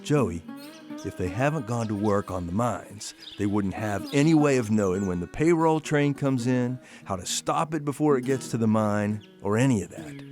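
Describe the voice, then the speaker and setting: A man's voice: on the low side, darkish tone, lightly acted. An American man acting in a radio drama as a shrewd, questioning newspaperman of the 1910s.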